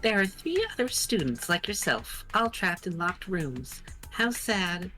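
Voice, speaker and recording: A woman playing a slow, mocking game kidnapper. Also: on the low side, very animated, sharp tone, faint music behind, heavily acted, rough recording. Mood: mocking, sinister. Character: mocking, nonchalant kidnapper toying with a captive pupil. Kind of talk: voiced game character lines.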